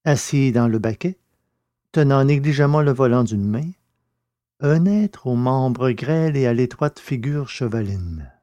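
A man narrating a scripted adventure story in a measured, literary way. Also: mid-range voice, warm tone, no room sound.

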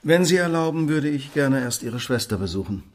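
An actor playing a shrewd, self-assured detective who speaks precisely and probingly in a radio play. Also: male voice, on the high side, expressive, a little fast, natural voice. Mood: firm, commanding. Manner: precise, probing, imperious deduction. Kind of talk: dramatic radio play dialogue.

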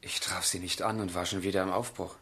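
A man's voice: low voice, steady tone, fast, a bit bright, slight background noise. An actor playing a passionate, tormented young man in a literary radio drama, speaking in formal, intense language. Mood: subdued, yearning. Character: passionate, tormented young man of feeling, letter-writing lover. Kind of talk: German literary radio drama, monologue and dialogue.

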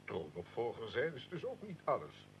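A man's voice: mid-range voice, huge pitch swings, normal speed, slight background noise. An actor playing a blunt, pointed male questioner in a historical radio drama.

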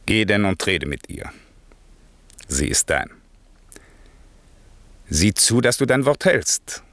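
Deep, commanding old magician. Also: male voice, very animated, slow pace, fairly bright tone, no room sound, full character voice. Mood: stern, warning. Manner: solemn, declamatory, stern. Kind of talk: classical German radio drama acting.

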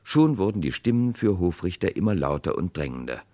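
An actor narrating a 1960s radio crime play as a grave chronicler, with dramatic, deliberate delivery. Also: male voice, deep voice, very animated, dark tone. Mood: grave, suspenseful. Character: grave male chronicler of a historic murder case.

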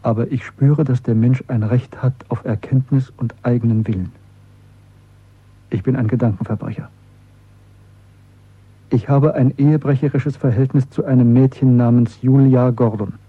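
An actor playing an earnest, cautious male clerk in a radio drama, with a husky voice and a reserved, correct way of speaking. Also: medium pitch, steady tone, moderate pace, long pauses, dry recording, phone-quality sound, lightly acted. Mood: tense, confessional. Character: earnest, cautious low-ranking party clerk, secretly rebellious man.

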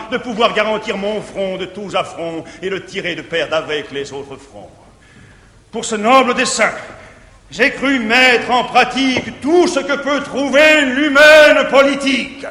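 Brooding jealous older guardian, bright high voice, echoey theatre hall. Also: male voice, full character voice. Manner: brooding, declamatory. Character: jealous middle-aged man, bitter guardian. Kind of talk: declaimed verse stage acting. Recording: echoey 1959 theatre recording.

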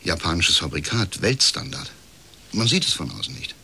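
An actor playing a bitter, middle-aged male official confiding as a whistleblower in a radio crime drama, with a husky voice and measured delivery. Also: sits low, very animated, pushed and bright, a little hiss or hum, full character voice. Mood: dry, bitter. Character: disillusioned, chain-smoking male privatisation official, uneasy whistleblower.

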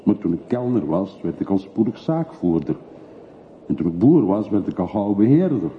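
A man's voice: on the low side, sing-song, moderate pace. An actor playing a brooding middle-aged man back from long exile in a radio play, terse, evasive and reflective. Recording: muffled phone-like old radio recording, faint hiss.

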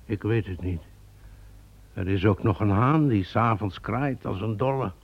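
An actor playing a mysterious lawyer who speaks ominously in an old radio play. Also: male voice, on the low side, moderate pace, breathy edge, highs cut off. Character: Judas, a mysterious male lawyer who waits in ruins. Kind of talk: radio drama acting.

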